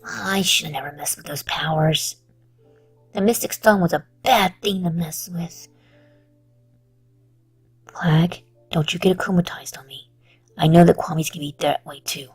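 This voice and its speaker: A woman voicing a worried, guilt-ridden young character in a fan audio drama, with halting delivery that slides into murmurs. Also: lowish voice, slightly rough, faint music behind. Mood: downcast, worried.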